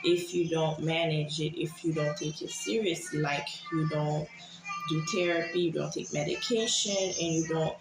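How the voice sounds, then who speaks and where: A woman from Haiti giving a personal, confessional monologue to viewers in a hesitant, halting way, often repeating herself. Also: sits low, fairly even intonation, gravelly, echoey room, music in the background, poor audio. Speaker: vlogger sharing personal experience.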